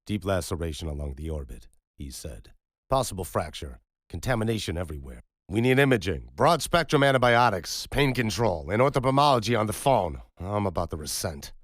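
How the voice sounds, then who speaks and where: A man voicing a brisk male trauma doctor in an audiobook, speaking in terse clinical orders. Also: low-pitched, very animated, no room sound, clean studio sound, voice pushed up. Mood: urgent.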